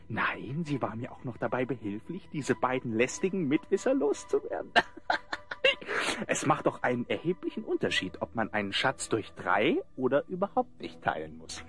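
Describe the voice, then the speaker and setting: A man's voice: mid-range voice, a bit bright. An actor playing a cunning, self-satisfied male rogue in a German audio drama, speaking in florid theatrical style. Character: gloating, cunning rogue, transformed back into a man.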